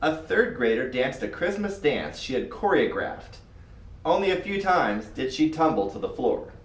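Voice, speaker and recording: A man narrates a children's story with highly animated intonation. His delivery is expressive and made for young listeners. The room rings around him, and he sounds some way from the microphone. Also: moderate pace. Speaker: storybook reader. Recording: roomy echo, far from mic.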